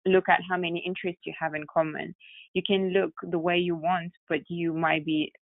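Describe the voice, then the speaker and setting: A woman's voice: lowish voice, flat delivery, moderate pace, no echo. A woman with a Russian accent, telling her story as a company founder in a conversational interview, over a muffled, narrow recording. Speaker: tech company founder, podcast guest. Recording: muffled narrow phone-like sound.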